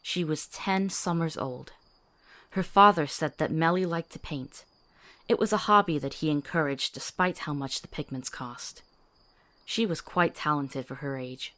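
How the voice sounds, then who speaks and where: Woman acting, low-pitched female fantasy companion, compassionate, sharp-tongued, earnest, articulate, visual novel drama.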